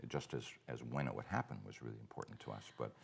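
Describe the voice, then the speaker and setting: A middle-aged man with a Cape Breton accent, a festival co-founder telling stories in a relaxed live chat. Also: rumbling low, fast talker, vocal fry, slightly rough. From Cape Breton, Nova Scotia, Canada. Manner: animated storyteller, acts out quoted dialogue.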